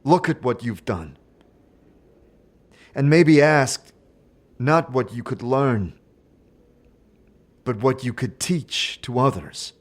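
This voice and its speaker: A man performing scripted narration and dialogue in an audio drama, in a measured, deliberate manner. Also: mid-range voice, expressive.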